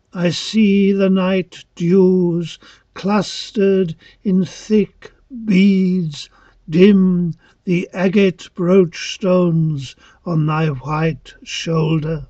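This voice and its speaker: A man reciting dramatic poetry as an awed, devout young reveller of ancient Greece, solemn and declamatory in halting phrases. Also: high-pitched, steady tone, mellow, dry recording. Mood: rapt, dreamy. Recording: dull lo-fi, dry close recording.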